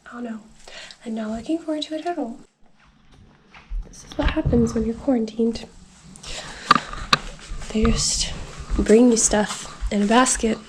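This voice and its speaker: A woman's voice: on the high side, vocal fry, a little mellow, a little hiss or hum, poor audio. A woman, sick and congested, rambling through a casual video diary with lots of filler words. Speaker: vlogger.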